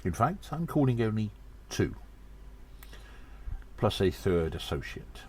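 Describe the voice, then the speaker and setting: A man voicing a gnome adventurer who earnestly narrates his exploits in an audio drama, with a theatrical, halting delivery. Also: fairly low pitch, very animated, a little mellow, slight background noise. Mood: earnest, conspiratorial.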